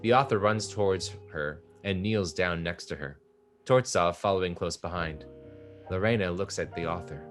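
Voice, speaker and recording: Deep guy reading stage directions off a script, bit of music behind. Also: moderate pace. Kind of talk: scripted narration of a stage play.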